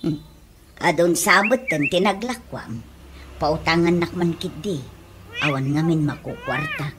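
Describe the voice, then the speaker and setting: An actress playing a hard-up, complaining older sister and wife in a comedy radio drama, with an Ilocano accent and a plaintive delivery. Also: female voice, low voice, measured pace. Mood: grumbling. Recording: noisy radio drama recording.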